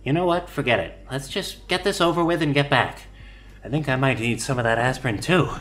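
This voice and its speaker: A man's voice: medium pitch, brisk, a bit bright, slight background noise, natural voice. A man playing a sarcastic, impatient robber henchman in an audio drama. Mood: sarcastic, exasperated.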